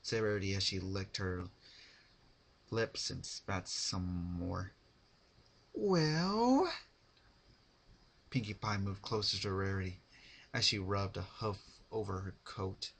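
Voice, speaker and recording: Guy reading fan fiction dramatically, takes his time, bright but kinda raspy. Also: sits low, flat delivery, unhurried. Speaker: narrator.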